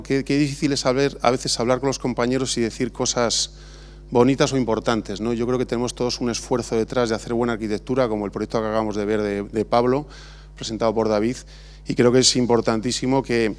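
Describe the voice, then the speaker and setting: A middle-aged man from Spain, an architect, talking informally at an awards ceremony with many restarts and fillers. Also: medium pitch. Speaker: architect giving an award acceptance talk. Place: large exhibition hall.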